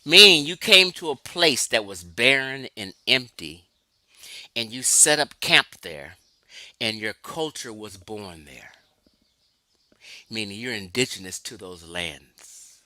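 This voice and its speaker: A man's voice: medium pitch, expressive, takes it slowly, bright, forceful tone, no room sound. A man from Los Angeles giving an emphatic, lecturing livestream talk, answering his chat and laughing often. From Los Angeles, California. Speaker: livestream host.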